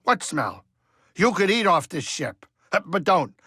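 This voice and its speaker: An actor voicing a grumpy, wisecracking older alien pilot and cook in a video game, sarcastic and blustery. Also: male voice, high voice, sing-song, measured pace, bright, forceful tone, husky, dry recording, clean studio sound, full character voice. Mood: exasperated, grumbling. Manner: sarcastic, blustery, grumbling banter with exasperated outbursts. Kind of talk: video game character dialogue.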